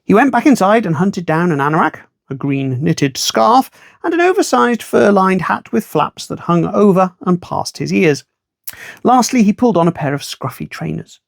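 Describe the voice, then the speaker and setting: A man reading a children's story aloud in an expressive storytime style, with wry asides to the listener. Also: sits high, moderate pace, gravelly, dry recording, clean studio sound.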